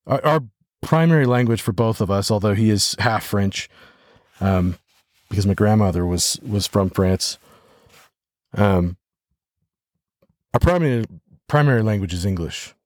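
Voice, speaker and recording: An American man hosting a podcast solo, talking reflectively and haltingly as he thinks aloud. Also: fairly low pitch, animated, dry recording, studio quality. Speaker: podcast host.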